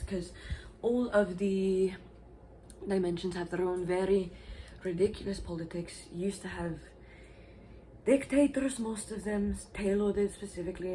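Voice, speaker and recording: A woman's voice: fairly low pitch, darkish tone, slight background noise, poor audio. A woman vlogger talking casually and at length, rambling a little and swearing now and then. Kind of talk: casual explanatory vlog.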